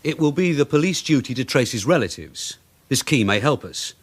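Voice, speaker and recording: Actor as a lively English police sergeant. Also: male voice, mid-range voice, fairly quick, lightly acted. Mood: businesslike. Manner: wry, matter-of-fact, instructive. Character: seasoned streetwise male police detective sergeant, brisk and bossy. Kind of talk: British radio drama acting.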